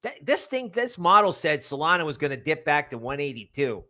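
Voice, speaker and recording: A man's voice: a little high, very animated, brisk, pushed and bright. A man hosting a livestream in a casual, rambling, emphatic way, repeating words for stress. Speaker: livestream host. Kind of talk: casual livestream chat with viewer shout-outs and promotion.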